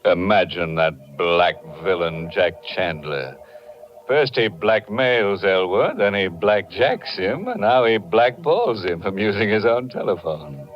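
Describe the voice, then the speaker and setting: A deep-voiced American man hosts an old radio mystery with wry theatrical flair. Music plays faintly around his muffled voice. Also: lively intonation, a little slow. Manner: sardonic, punning, theatrical. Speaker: mystery show host. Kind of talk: scripted 1940s radio hosting. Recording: muffled 1940s radio recording.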